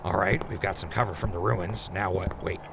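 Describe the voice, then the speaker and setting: A low-pitched, sardonic ghoul traveller acted by a man talks plainly and wryly, as part of a wasteland radio drama crew. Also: steady tone, a little fast, creaky, background noise. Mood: wry, uneasy. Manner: wry, plain-spoken. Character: wry, foul-mouthed older ghoul companion. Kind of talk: post-apocalyptic audio drama acting.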